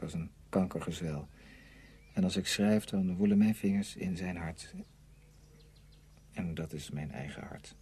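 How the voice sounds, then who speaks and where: Low, mellow, brooding novelist. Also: male voice, slightly rough, no room sound. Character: brooding, self-tormented male novelist, bitter and intense. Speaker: actor in a radio play. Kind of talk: Dutch radio play acting.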